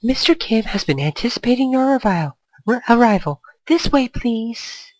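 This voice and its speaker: A man's voice: extremely high pitch, sing-song, normal speed, no echo, voice pushed up, heavily acted. A man voicing a polite, formal female corporate secretary in a visual novel game.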